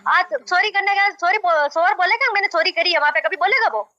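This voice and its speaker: A woman's voice: extremely high pitch, a little fast, pushed and bright, dry recording. A young woman arguing insistently and repeating herself on a recorded phone call. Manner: insistent, repetitive, argumentative. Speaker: caller in a marriage dispute. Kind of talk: heated phone conversation.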